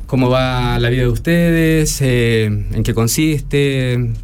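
A man's voice: mid-range voice, takes it slowly, darkish tone, slight background noise. A man with a Chilean accent, a traveling musician chatting casually as a guest in an interview. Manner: friendly, rambling, talks to the hosts directly.

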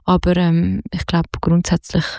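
A young woman with a Zurich Swiss German accent, talking in a low, mellow voice that stays fairly flat. She searches for words with plenty of fillers and restarts. The recording is dry and close. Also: measured pace, no room sound. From Zurich, Switzerland. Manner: hesitant, many fillers and restarts. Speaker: singer-songwriter guest. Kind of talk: casual interview.

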